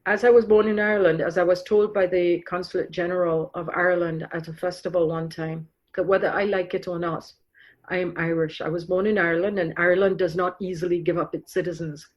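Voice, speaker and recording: A woman's voice: fairly low pitch, fairly even intonation, fairly quick, muffled recording. A middle-aged woman from Trinidad, speaking reflectively in a podcast interview with frequent fillers and restarts. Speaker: guest author.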